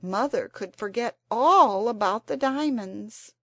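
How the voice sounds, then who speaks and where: A woman's voice: a little high, takes it slowly, dry recording, voice pushed up, heavily acted. A woman doing a blunt, glum young girl. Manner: flat and glum. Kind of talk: storybook character voice.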